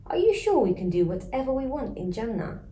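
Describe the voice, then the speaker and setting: An eager little boy acted by a woman from a storybook, curious and cheeky. The pitch often lifts, and the room echoes. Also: big pitch swings, brisk, dark tone. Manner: eager, imaginative. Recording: roomy echo, faint background noise.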